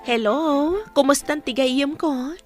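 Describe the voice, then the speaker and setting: A woman playing a chatty village mother in an audio drama, conversational and expressive, quick to defend herself. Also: high-pitched, over music.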